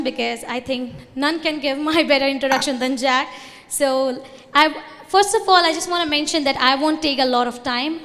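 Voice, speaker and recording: Brief: young Indian-accented woman, high voice, earnest presenter at a convention, a bit loosely worded, long hall reverb. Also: fairly bright tone. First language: Hindi. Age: young adult. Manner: earnest, loosely phrased speech with restarts. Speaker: student organization representative. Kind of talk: conference presentation.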